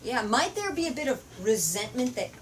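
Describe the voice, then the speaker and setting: A woman's voice: a little high, big pitch swings, moderate pace. A woman leading a book talk, reading a story aloud and explaining it emphatically with questions. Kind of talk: storytelling and literature discussion. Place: bookstore. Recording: distant mic in noisy room.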